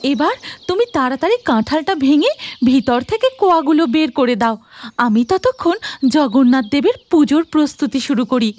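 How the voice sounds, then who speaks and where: Woman as humble devout housewife, high animated voice, cartoon dialogue, respectful. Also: normal speed. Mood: brisk, agreeable. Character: devout, humble, hospitable village wife.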